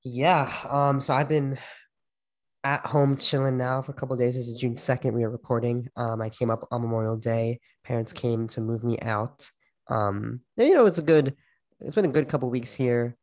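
A young man in a relaxed podcast chat recorded over a video call. His voice sits at an ordinary middle pitch. He teases his friend in a playful way and breaks into laughter often. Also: moderate pace. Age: young adult. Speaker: podcast co-host. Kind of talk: casual chat.

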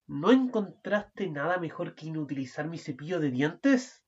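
Fussy, quarrelsome husband for a radio drama, voiced low by a woman; muffled recording. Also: lively intonation, moderate pace, breathy edge. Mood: exasperated. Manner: bickering, rising to shouting. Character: exasperated fussy married man, husband. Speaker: student radio drama actor. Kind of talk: amateur radio play acting.

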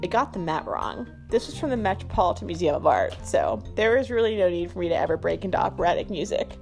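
A woman's voice: fairly low pitch, big pitch swings, fast talker, vocal fry, darkish tone, faint music behind. A woman hosting a podcast in a casual stream-of-consciousness monologue, rambling and self-deprecating. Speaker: podcast host.

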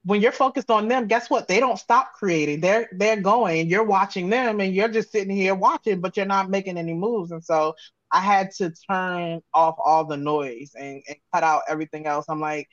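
A woman's voice: fairly low pitch, steady tone, moderate pace, dry recording, lo-fi sound. A woman giving casual, candid and reflective interview answers, often voicing her own self-talk. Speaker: interview guest, audio drama creator.

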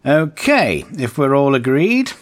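A man's voice: mid-range voice, sing-song, unhurried. A man playing an earnest, officious and exasperated agency chief in a comedic audio drama. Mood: resigned, solemn. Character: exasperated, earnest male agency chief briefing misfit heroes.

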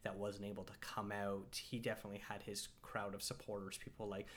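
A man hosting a casual film discussion, talking thoughtfully and hesitantly with many pauses. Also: on the low side, flat delivery, fairly bright tone. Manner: thoughtful, hesitant, lots of fillers and restarts. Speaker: podcast host and film reviewer.

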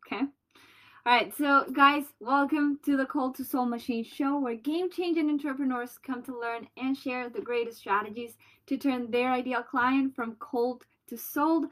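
Bubbly high-voiced Colombian-accented woman. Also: steady tone. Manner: bubbly, loud and fast-talking. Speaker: podcast host. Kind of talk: solo motivational business podcast.

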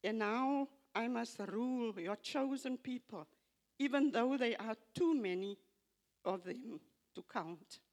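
An older woman with an Afrikaans accent, English not her first language, slowly reading scripture aloud and reflecting on it at a church gathering, halting and repeating phrases. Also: on the high side, darkish tone. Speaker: church member giving a tribute.

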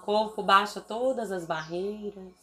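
A Brazilian woman speaks as a meditation guide with faint music behind her. Her delivery is very unhurried and soothing, with long pauses between short, deliberate phrases. Her voice sits at an ordinary female pitch. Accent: Brazilian Portuguese.

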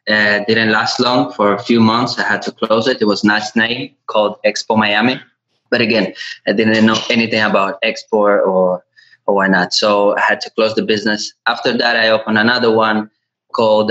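A man from Latvia gives conversational interview answers as a podcast guest, haltingly and often repeating words. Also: fairly low pitch, bright, forceful tone. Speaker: podcast guest, e-commerce entrepreneur. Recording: dull, lo-fi audio.

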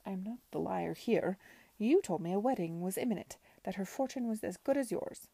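A woman's voice: medium pitch, animated, brisk, vocal fry, dark tone, slightly rough, no echo. Woman voicing a mockingly genteel male gambling-den villain. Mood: sneering. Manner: affected politeness, making a show of affront. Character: smooth, mockingly genteel male gambling-house owner, low-born villain.

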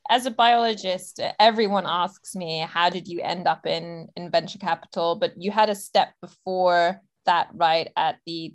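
A woman from Hong Kong hosting an interview, asking curious, upbeat questions. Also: lowish voice. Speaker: podcast host.

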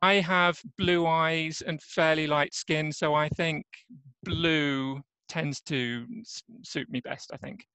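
English man, relaxed and hesitant. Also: on the high side, slow pace, clean tone, no echo, rough recording. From England. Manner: relaxed, hesitant with many ums. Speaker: podcast guest. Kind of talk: casual interview chat.

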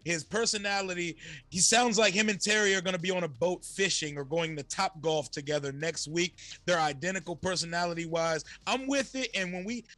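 Hoarse, high, energetic man chatting. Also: a little hiss or hum. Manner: energetic, slangy, fast-flowing. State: slightly hoarse. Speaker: guest YouTube creator. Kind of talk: casual podcast chat.

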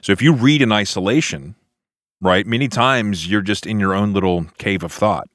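A man's voice: fairly low pitch, normal speed, fairly bright tone, no echo, studio quality. An American man, a pastor hosting a podcast, asking questions and commenting thoughtfully in a conversational way. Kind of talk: conversational interview.

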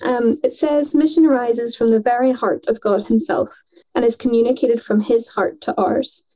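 Woman, thirties, mission worker, earnest, articulate, mellow warm tone, slightly high voice. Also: steady tone, moderate pace, low-quality recording. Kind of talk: conversational podcast interview.

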